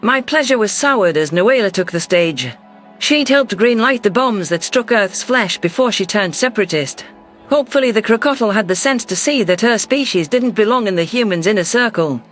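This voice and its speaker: A man reading scripted first-person science fiction narration in a measured, literary delivery. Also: high-pitched, animated, fairly bright tone, faint music behind. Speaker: audiobook narrator.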